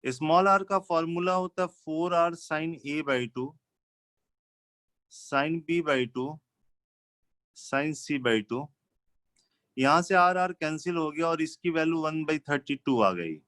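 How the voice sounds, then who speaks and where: Slow-paced male maths teacher. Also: a little high, a bit bright, no echo. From India. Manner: instructional, mixes Hindi with English maths terms. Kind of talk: live lesson, working through problems step by step.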